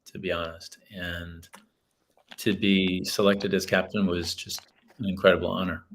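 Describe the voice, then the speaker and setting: A man's voice: low voice, level tone, normal speed, fairly bright tone, slight background noise. A man, a guest author telling a story in an earnest, reflective way. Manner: earnest, reflective, many restarts. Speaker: guest, author and school leader. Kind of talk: storytelling in a podcast interview.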